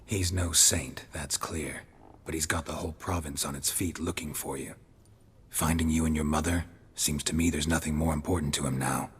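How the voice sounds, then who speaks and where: Grizzled monster hunter, rumbling deep and raspy, dry and terse, in a reverberant space. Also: male voice, fairly even intonation, normal speed, slight background noise. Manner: terse, dry, low-key. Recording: long hall reverb.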